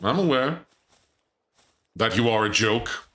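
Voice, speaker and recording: Male streamer, crisp bright voice, echoey room, chatty and joking with chat. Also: medium pitch, lively intonation, slow pace. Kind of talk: casual gameplay commentary.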